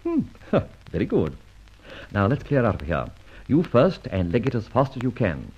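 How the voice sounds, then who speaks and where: Flippant gentleman adventurer, wildly swooping voice. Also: medium pitch, wildly swooping pitch, moderate pace, husky, exaggerated voice. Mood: teasing, jaunty. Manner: flippant, theatrical, bantering with old-fashioned British slang. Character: breezy, teasing gentleman adventurer with a secret, clever and flippant. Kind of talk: mid-century radio drama acting. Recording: dull, muffled old radio recording.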